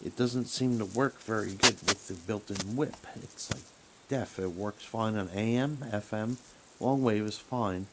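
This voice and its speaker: A man talking casually and haltingly as he rambles through a hobbyist's gadget troubleshooting demonstration. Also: on the low side. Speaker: radio hobbyist. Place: small room at home, indoors. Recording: noisy home recording with background hiss.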